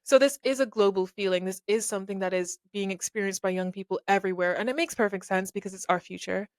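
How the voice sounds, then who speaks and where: A woman's voice: medium pitch, quick pace, no echo. Earnest young woman, dry close sound. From Barbados. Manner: earnest, conversational, thinks aloud with restarts. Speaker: podcast host. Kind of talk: reflective solo podcast talk.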